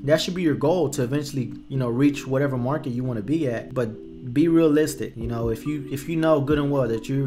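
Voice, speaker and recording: Young man explaining barber pricing, casual. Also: fairly high pitch. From Texas. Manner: conversational, repeats words while thinking, addresses viewers as "you guys". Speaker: barber teaching business. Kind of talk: casual screen-share tutorial. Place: small room indoors. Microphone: desk microphone at a computer, webcam in corner.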